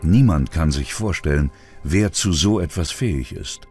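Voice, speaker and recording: Male narrator for crime documentary, deep register, scripted delivery over faint music. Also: lively intonation, measured pace, a little mellow.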